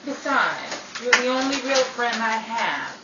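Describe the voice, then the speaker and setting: A roomy, slightly dull recording of an actress as a much-married, melodramatic woman from a 1930s-style radio play. She is wry and theatrical, bossing her friend about out of loyalty. Her voice sits fairly high. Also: lively intonation, measured pace. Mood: bossy, caring. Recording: dull, roomy, distant, with faint noise.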